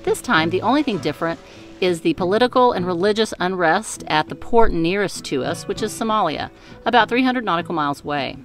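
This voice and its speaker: A woman giving calm, measured scripted voice-over narration for a family sailing vlog. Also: fairly low pitch, soft background music. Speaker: vlogger narrating a sailing trip.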